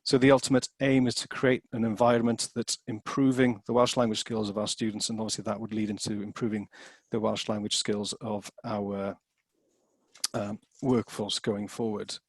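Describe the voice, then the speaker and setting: Flat-voiced hesitant man presenting. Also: lowish voice, normal speed, dry recording. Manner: hesitant, many fillers and restarts. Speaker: presenter at an annual meeting. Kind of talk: formal presentation.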